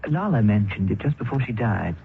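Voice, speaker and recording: An actor playing a grieving young English surgeon who talks in an anguished, pleading way. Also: male voice, lowish voice, sing-song, brisk, gravelly. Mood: bleak. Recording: old radio broadcast, phone-like band with faint hiss.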